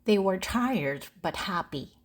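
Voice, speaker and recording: Woman, read-aloud storytelling, deliberate phrasing, lively pitch movement, breathy voice, slow pace. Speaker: storybook narrator.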